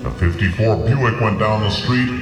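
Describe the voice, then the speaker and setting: A man reads a scripted science fiction story in the first person, phrasing it dramatically and deliberately with pauses. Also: fairly low pitch. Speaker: narrator of an audio story. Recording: roomy, distant voice under loud music. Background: loud music.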